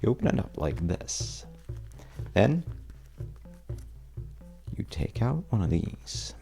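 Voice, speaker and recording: A man playing a charming, shifty stranger at a bar in an intimate audio roleplay, telling wry, teasing tales in a hesitant, gravelly way. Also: big pitch swings, slow pace, warm tone, soft background music, exaggerated voice. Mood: playful. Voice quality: very deep, gravelly, breathy at times. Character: well-dressed, untrustworthy charming male stranger at a bar, bragging playfully.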